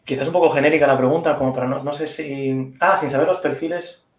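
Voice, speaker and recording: Man, conference speaker, quick delivery, roomy video call sound. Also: on the high side, fairly even intonation. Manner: thoughtful, hedging, explains with examples. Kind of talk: online Q&A answer.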